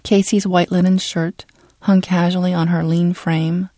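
Dry, measured audiobook narrator. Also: medium pitch, level tone, dark tone, dry recording. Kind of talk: scripted literary narration.

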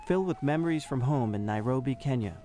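A man's voice: mid-range voice, expressive, quick pace, warm tone, soft background music. Man narrating a news feature.

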